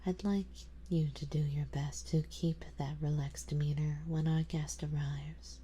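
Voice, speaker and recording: A woman playing a doting, possessive, genteel vampire in a whispered ASMR roleplay, speaking softly and coaxingly in a breathy whisper. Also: low-pitched, steady tone, measured pace, slight background noise. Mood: tender, possessive. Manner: soft, coaxing, genteel, indulgent.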